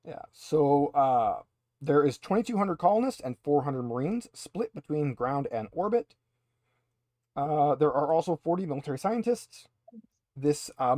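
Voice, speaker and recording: A man with a slightly high voice narrates a tabletop game as its game master. His delivery is conversational, dotted with wry asides, and the sound is close and dry with little room in it. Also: a little mellow, no echo.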